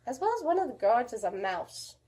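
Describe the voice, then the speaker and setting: Bossy, higher-pitched puppet critic played by a woman. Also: sing-song, brisk, mellow. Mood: snarky. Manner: snappy, sarcastic. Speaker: puppet show reviewer. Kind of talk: comic movie recap.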